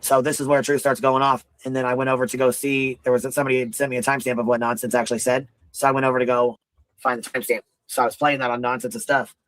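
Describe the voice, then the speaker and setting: A man with a deep voice hosting a casual livestream commentary, rambling and profane, often asking "you know what I mean.". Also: a bit bright, dry recording, poor audio.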